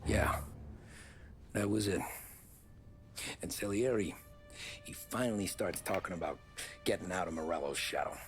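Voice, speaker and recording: A deep male voice of an actor plays a tired 1930s gangster looking back on his life of crime. He speaks plainly and with slang, matter-of-fact, over clear background music in a story-driven game. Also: normal speed, pauses often, lightly acted. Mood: reflective, measured. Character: weary 1930s gangster recounting his criminal past. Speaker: narrating lead character. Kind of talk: dramatic video game acting. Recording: music behind the voice.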